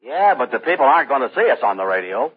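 A man's voice: medium pitch, very animated, fast, breathy edge. A man playing an agreeable, easygoing, folksy entertainer in an old-time radio drama. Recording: tinny, noisy vintage radio recording.

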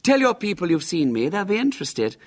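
A man's voice: sits high, big pitch swings, brisk, voice pushed up. A man reading a storybook, voicing a conceited, self-important young boy with a haughty, boastful manner.

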